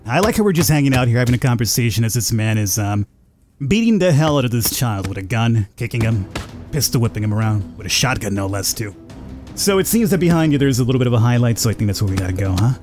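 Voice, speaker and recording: A man's voice: medium pitch, lively intonation, fast talker, a little mellow, faint music behind. A man giving casual commentary as host of a gaming video.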